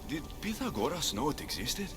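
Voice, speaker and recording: An actor playing a wary ancient Greek warrior in a video game, blunt and full of sceptical questions. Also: male voice, a little high, big pitch swings, brisk, music in the background. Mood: wary, curious. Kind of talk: scripted game cutscene acting.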